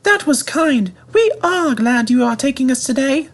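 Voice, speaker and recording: Storybook railway coaches, feminine, fussy and courteous, piping falsetto performed by a man. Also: expressive, normal speed, fairly bright tone, exaggerated voice. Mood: grateful. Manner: falsetto, polite and pleading.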